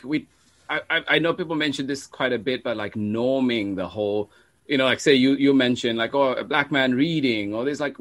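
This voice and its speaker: A man talking casually as an interview guest who hosts a children's storytime. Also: medium pitch. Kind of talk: casual conversational interview talk.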